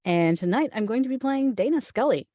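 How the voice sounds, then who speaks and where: A woman's voice: fairly high pitch, lively intonation, brisk, dark tone, no echo. A woman who works as a voice actor, chatting casually over a video call. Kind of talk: casual chat.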